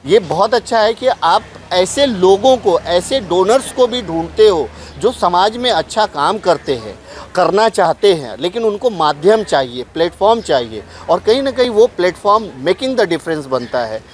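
A man's voice: high-pitched, very animated, moderate pace. A middle-aged man with an Indian accent reporting and interviewing on location as a news presenter. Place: outdoors on a busy street at an event. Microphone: handheld clip mic held close. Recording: noisy outdoor street ambience.

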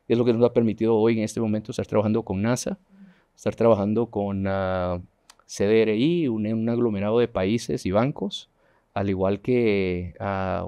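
Middle-aged man from Honduras, guest engineer, warm earnest studio voice. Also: medium pitch. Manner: earnest, animated, gesturing while talking. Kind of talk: studio TV interview. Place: dark TV studio. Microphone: lapel mic.

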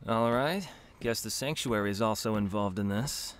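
An actor playing a cocky, wisecracking young demon hunter with a sardonic, swaggering manner in a video game cutscene. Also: male voice, fairly low pitch, moderate pace, slight background noise, close to an everyday voice. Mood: nonchalant. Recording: loud music behind the voice.

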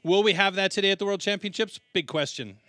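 A man's voice: high voice, fast, pushed and bright, no room sound, studio quality. A man giving conversational live sports commentary, talking at length in an emphatic manner.

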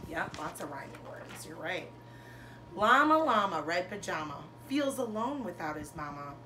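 A woman in her thirties, a teacher reading a children's storybook aloud to pupils with expressive, sing-song phrasing and emphasized words. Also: on the low side, moderate pace, background noise. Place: bedroom, indoors. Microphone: camera a couple of metres away.

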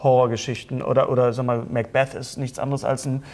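A man's voice: mid-range voice, a little fast. A middle-aged man chatting casually as a talk show host, rambling along with joking asides. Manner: jokey, rambling, animated. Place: indoor studio set at a table.

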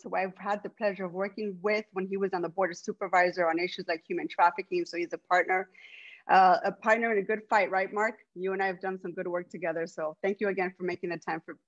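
A woman's voice: medium pitch, a bit bright, dull audio. A woman whose first language is Spanish, from Los Angeles, speaking earnestly as city council president on a video call. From Los Angeles, USA. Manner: earnest, speaks with many restarts. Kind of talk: opening remarks at an online public meeting.

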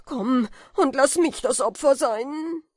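A woman voicing a half-mad, starving man who raves and shouts wildly, for a storybook reading. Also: very high voice, takes it slowly, no echo, clean studio sound, pitched above the performer's own voice. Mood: frantic. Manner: raving, shouting, frantic.

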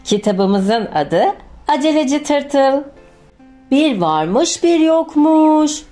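A woman narrating a children's picture book in an expressive scripted storytelling style. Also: sits high, drawn-out words. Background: music.

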